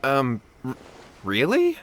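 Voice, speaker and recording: A man's voice: mid-range voice, huge pitch swings, slow, a little hiss or hum. A man playing an earnest, deferential young martial arts student in an English-dubbed anime video game, pleading and hesitant. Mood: flustered deference.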